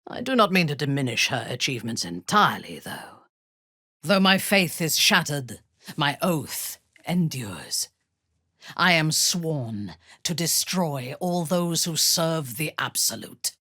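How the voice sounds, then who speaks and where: An actress playing a cold, haughty female drow paladin in a video game, commanding and deliberate, with a husky, breathy voice. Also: sits low, sing-song, bright, forceful tone, no echo, clean studio sound, heavily acted. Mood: solemn. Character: menacing, imperious female drow paladin warrior, devout and ruthless. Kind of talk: video game character acting.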